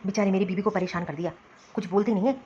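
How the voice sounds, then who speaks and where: A woman's voice: mid-range voice, steady tone, very fast, warm tone, highs cut off, a little hiss or hum, lightly acted. A woman voicing a protective adult son and husband in a cartoon family drama, reproachful and insistent. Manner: remonstrating, insistent.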